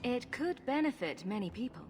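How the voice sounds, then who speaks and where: A woman's voice: fairly high pitch, very animated, moderate pace, a little hiss or hum. A woman playing a dry, challenging human adventurer in a video game, with a wry, direct way of questioning. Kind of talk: video game cutscene acting.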